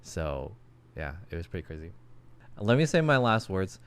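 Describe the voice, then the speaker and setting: A man's voice: sits low, sing-song, normal speed, clear, resonant tone. A man in his thirties hosting a casual streamed podcast, talking loosely with lots of fillers and restarts. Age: 30s.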